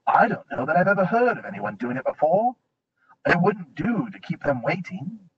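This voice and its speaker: A courteous young fawn, eager to help, as a man puts him on, speaks in a raised, lively voice that rises and falls a great deal. A slight huskiness runs through it, and the recording sounds dull and lo-fi. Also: sits high, moderate pace, husky, voice pushed up, full character voice. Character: polite, eager young male fawn, brisk and helpful.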